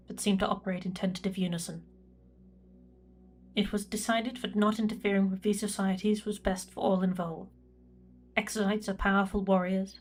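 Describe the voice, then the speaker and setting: A woman's voice: medium pitch, lightly acted. A woman playing a reserved imperial records prefect, dictating a log in a formal, precise manner for a sci-fi audio drama. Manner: formal, measured, clinical.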